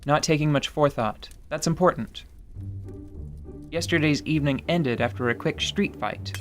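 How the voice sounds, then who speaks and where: A man giving wry, measured scripted narration of a fantasy tabletop story. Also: fairly high pitch. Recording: music underneath.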